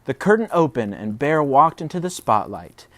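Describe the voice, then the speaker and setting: A man reading a children's story aloud in an expressive, dramatic way. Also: mid-range voice, normal speed, no echo. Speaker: preschool storybook reader. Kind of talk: animated children's story narration.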